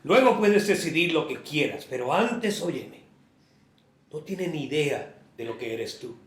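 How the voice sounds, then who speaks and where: An actor playing a gentle man who earnestly pleads with someone he loves. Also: on the high side, animated, normal speed, roomy sound, lightly acted. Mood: pleading. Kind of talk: audio drama acting.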